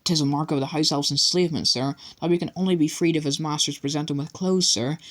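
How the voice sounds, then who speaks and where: A man's voice: a little high, fairly even intonation, fast, fairly bright tone, pitched above the performer's own voice, close to an everyday voice. A man voicing a small, miserable male house elf who talks humbly and servilely. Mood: pleading. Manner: humble, servile, refers to himself by name.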